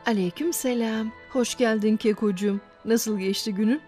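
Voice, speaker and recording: A woman playing a gentle, devout, encouraging mother in a children's cartoon, speaking patiently. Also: fairly high pitch, moderate pace, lightly acted. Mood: welcoming. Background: background music.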